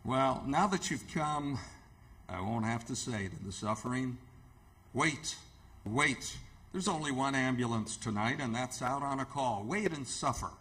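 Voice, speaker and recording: An actor playing an earnest, careworn hospital superintendent in radio theatre, halting and emphatic. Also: male voice, mid-range voice, a bit bright, natural voice. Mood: grave, impassioned.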